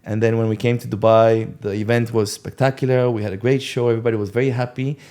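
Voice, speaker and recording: A man in his forties, a guest on an interview podcast, telling a long story in a relaxed, rambling way with many fillers and asides. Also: medium pitch, fast. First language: English. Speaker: podcast guest, company co-founder.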